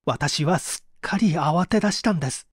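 A man's voice: a little high, normal speed, husky, no echo, studio quality. A man playing an anguished painter confessing to an interrogator in a polite, emotive radio-drama reading. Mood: haunted, agitated. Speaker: narrator and reader.

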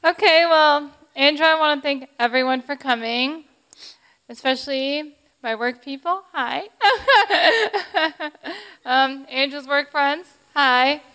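High-voiced bride, chatty thanks. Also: female voice, slow pace, bright, forceful tone. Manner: chatty and giggly. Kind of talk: casual thank-you speech.

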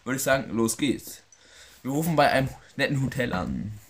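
A young man making a prank phone call and talking to viewers, with a halting, hesitant delivery. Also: mid-range voice, lively intonation, slight background noise. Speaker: prank caller, video host. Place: indoor room with wooden floor.

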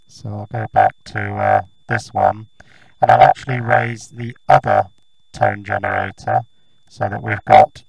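A man's voice: lowish voice, gravelly. A British man giving a casual, hesitant demonstration through a robotic vocoder that turns his voice into buzzing tones. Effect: robotic vocoder, voice modulating buzzing tones and noise. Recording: noisy, humming vocoder output.

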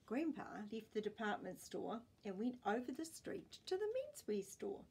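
An older woman reading a picture book aloud expressively, pausing to put questions to her listeners. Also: fairly high pitch, big pitch swings, creaky, a little mellow, slight background noise. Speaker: children's storytime reader. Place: small indoor room with bookshelves.

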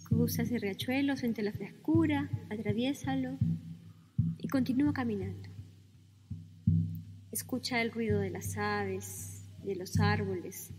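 A woman leading a guided meditation, speaking calmly and soothingly with gentle pauses between phrases. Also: on the high side. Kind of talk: guided meditation, slow spoken instructions. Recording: long reverb with music behind.

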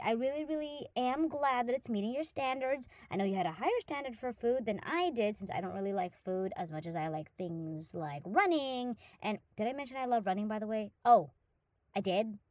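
A woman's voice: a little high, darkish tone, no echo, close to an everyday voice. A woman playing a hyperactive, affectionate young dog-girl roommate in a roleplay audio drama, gushing and rambling eagerly to the listener.

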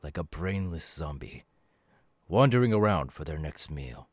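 Demon warrior, male actor, audio roleplay: low-pitched, knowing, calmly menacing, halting dramatic delivery, dry recording. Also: dry recording, full character voice. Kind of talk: ASMR audio roleplay monologue.

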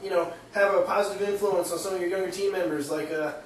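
A man's voice: sits high, fairly even intonation, fast talker, distant mic, slight background noise. A man playing a reporter, asking a rambling, hesitant question. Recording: very echoey room, heard from afar.

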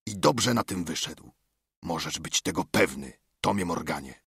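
A man's voice: low voice, expressive, measured pace, fairly bright tone, slightly rough, no room sound, clean studio sound, exaggerated voice. Man acting out a roaring, swaggering pirate captain. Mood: menacing. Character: commanding pirate captain, menacing, scornful older ship's cook. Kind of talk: audiobook character acting.

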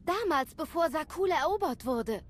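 A woman's voice: high-pitched, expressive, moderate pace, a little hiss or hum. A woman playing a friendly, curious young Jedi in a video game, chatty and inquisitive. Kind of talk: German video game voice acting.

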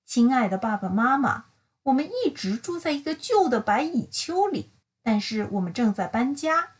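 Storybook young male meerkat female reader, high, very lively intonation, cheerful and eager letter-writer. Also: normal speed. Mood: chatty. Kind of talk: children's picture-book letter reading.

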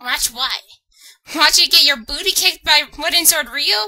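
A woman voicing a small, excitable, indignant schoolboy who whines and protests in an anime fan dub. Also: sits high, moderate pace, low-quality recording. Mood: exasperated, alarmed. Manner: whiny, protesting.

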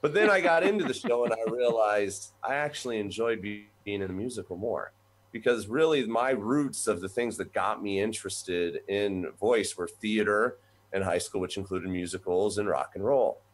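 Low-voiced man telling his story on a webcam. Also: moderate pace, low-quality recording. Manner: relaxed, rambling with fillers. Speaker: guest, voice professor. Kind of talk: conversational storytelling. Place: small office room. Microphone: webcam on video call.

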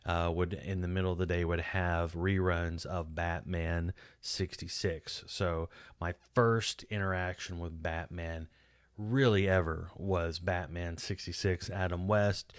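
A man's voice: low-pitched, little pitch movement, measured pace, no room sound, clean studio sound. A man in his forties with a Texan accent, chatting casually as a podcast host in a rambling, chatty way with lots of restarts. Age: 40s. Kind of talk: casual solo video commentary. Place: small home studio room. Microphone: boom-mounted studio mic close up.

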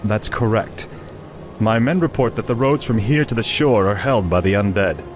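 A man's voice: on the low side, normal speed, slight background noise, lightly acted. Man playing a stern, formal royal envoy. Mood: stern, official. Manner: formal, official, clipped. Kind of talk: fantasy video game cutscene acting.

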